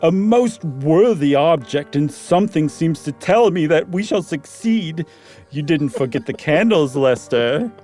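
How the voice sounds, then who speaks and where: Give this storybook gentleman , as a man acts him out, a pompous, grand air. The pitch rides high and swings about theatrically, with words drawn out for effect. Music plays underneath. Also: animated. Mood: grandly earnest. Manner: pompous, drawn-out, exaggerated delivery. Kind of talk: comic dramatic reading of old ghost story.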